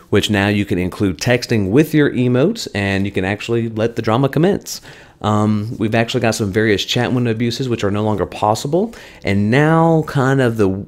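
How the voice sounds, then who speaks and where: A man hosting a weekly game news update in a chatty, conversational way, his voice slightly hoarse. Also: fairly low pitch. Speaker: gaming channel host.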